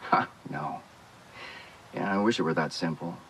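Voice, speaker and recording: A man's voice: low voice, unhurried, a bit bright, slight background noise, rough recording. An actor playing a worried, guarded but caring man in a video game, speaking hesitantly and conversationally. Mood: rueful, concerned. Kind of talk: naturalistic video game acting.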